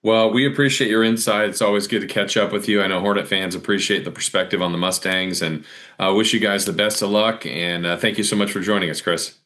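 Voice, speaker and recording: A man's voice: fairly low pitch, fairly even intonation, a little fast, a bit bright. A man hosting a sports podcast in a casual, conversational interview style.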